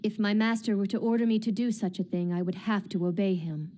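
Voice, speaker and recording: A woman playing a dutiful young female knight in an English anime dub, speaking formally and solemnly with measured words. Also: medium pitch, little pitch movement, lightly acted. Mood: resolute. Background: loud music.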